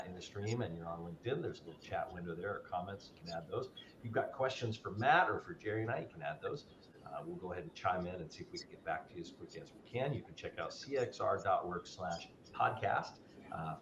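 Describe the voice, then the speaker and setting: A man with a fairly low voice hosts a live podcast. He talks eagerly and trips over his own restarts, with plenty of "uh" and "I mean". His audio is dull and roomy, with a steady rumble of noise underneath. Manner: energetic, quick, with many fillers and restarts. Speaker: podcast host.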